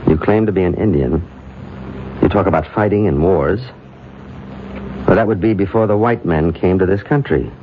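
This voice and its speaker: An actor playing a calm, skeptical American doctor in an old-time radio drama, questioning with measured authority. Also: male voice, low-pitched, natural voice. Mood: skeptical, probing. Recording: muffled, faintly noisy radio broadcast recording.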